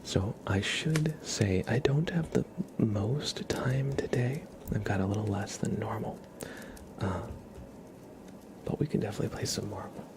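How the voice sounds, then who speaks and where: A man hosting an ASMR livestream, chatting casually to viewers in a soft, mostly whispered, gentle and unhurried murmur. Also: on the low side, background noise.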